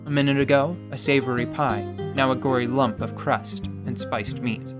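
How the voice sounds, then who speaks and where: A man narrating a fantasy radio play in a measured, dramatic storytelling style with musing asides. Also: medium pitch, fairly even intonation. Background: soft music.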